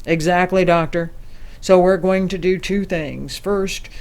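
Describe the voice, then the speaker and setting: Woman doing a calm lady ambassador in a space audio drama, formal and in charge, measured delivery. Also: fairly low pitch. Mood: firm, determined. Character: composed female ambassador leading a secret space mission. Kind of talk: audio drama acting, briefing a crew.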